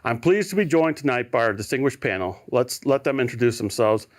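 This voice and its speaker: A man moderating a university panel discussion, giving formal, measured introductions. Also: mid-range voice, expressive. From United States.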